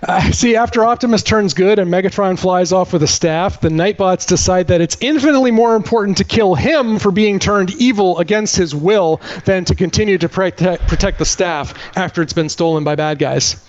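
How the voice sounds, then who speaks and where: A man's voice: high voice, normal speed, hardly any pauses, lo-fi sound. A man giving a comedic movie plot recap as a podcast host, with sarcastic, exasperated storytelling.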